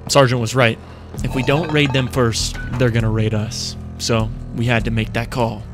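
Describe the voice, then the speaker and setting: A man's voice: medium pitch, level tone, music in the background. Man narrating a survival game video. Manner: shouts quick callouts in fights. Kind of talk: game callouts and voice-over narration.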